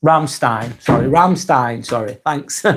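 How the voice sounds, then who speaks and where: A man from Lancashire with a Lancashire accent, hosting a casual live stream and reading viewer comments aloud in a soft, hesitant way. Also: medium pitch, takes it slowly, breathy edge. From Lancashire, England.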